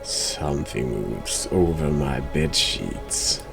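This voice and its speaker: A man with a gravelly voice giving casual, sarcastic, deadpan commentary on a horror game. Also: a bass voice, slow pace. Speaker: streamer playing a horror game. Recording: music behind the voice.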